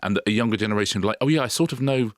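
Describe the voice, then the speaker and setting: Deep, quick-talking man. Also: dry recording, studio quality. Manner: quick, enthusiastic, with stammered restarts. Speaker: podcast co-host. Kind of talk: casual chat.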